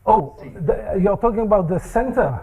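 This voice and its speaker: A man giving a technical seminar lecture in a seminar room, with a soft voice, halting speech and many fillers. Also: high-pitched, poor audio.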